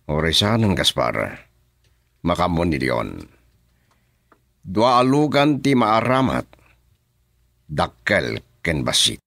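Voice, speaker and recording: Crime boss: Filipino accent, low, unhurried, cool and domineering, radio drama delivery. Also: male voice, very animated, fairly bright tone, dry recording, studio quality, exaggerated voice. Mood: cold, scheming. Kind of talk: Ilocano radio drama acting.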